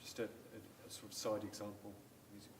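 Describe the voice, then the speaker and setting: A man who works with patients at a hospital, explaining clinical examples on a panel in a measured way. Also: fairly low pitch, flat delivery. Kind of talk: panel discussion. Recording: echoey room, distant mic, faint noise.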